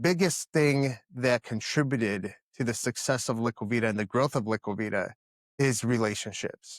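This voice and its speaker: A man in his thirties from the Dominican Republic speaks as a podcast guest, earnest and emphatic, doubling back on words as he builds each point. His pitch sits in an ordinary middle range. The sound is close and dry, with no room around it. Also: no room sound. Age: 30s. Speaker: podcast guest, company founder. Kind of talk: casual interview answers.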